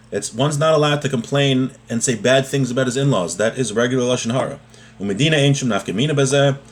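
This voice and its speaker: A man giving an informal religious lesson, reading from a text and explaining it point by point, often rephrasing as he goes. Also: medium pitch. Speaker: religious teacher.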